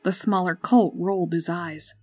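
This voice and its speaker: Woman narrator, slightly low pitch, husky, scripted storytelling, faint music behind. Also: fairly even intonation, normal speed, a little mellow, dry recording.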